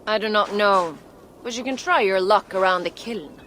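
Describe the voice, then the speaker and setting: An actress playing a pragmatic female dwarf metal worker in a video game, measured and matter-of-fact. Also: mid-range voice, fairly bright tone, slight background noise, lightly acted. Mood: resigned, candid. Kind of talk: video game cutscene acting.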